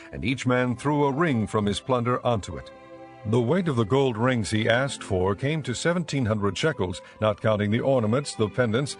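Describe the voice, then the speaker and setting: A man narrating scripture as a scripted audiobook in a measured, solemn manner. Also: mid-range voice.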